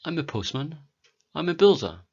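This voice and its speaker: A British man in his forties teaching a beginner English lesson, speaking clearly and deliberately for learners. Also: a little high, sing-song, darkish tone, dull audio. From Britain. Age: 40s.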